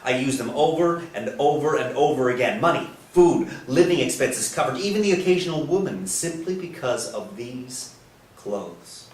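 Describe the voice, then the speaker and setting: Distant, roomy actor as disillusioned fake priest. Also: male voice, mid-range voice, lively intonation, normal speed, natural voice. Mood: defiant confession. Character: disillusioned male con artist posing as priest, confessing. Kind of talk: staged play reading. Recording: roomy, distant mic, faint noise.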